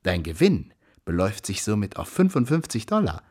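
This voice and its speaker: An actor playing a smug, patronising prison director in a radio play, pedantic and full of hesitant ers. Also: male voice, fairly low pitch, very animated, very noisy. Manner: hesitates with drawn-out ers while reckoning, pedantic. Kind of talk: German radio play acting.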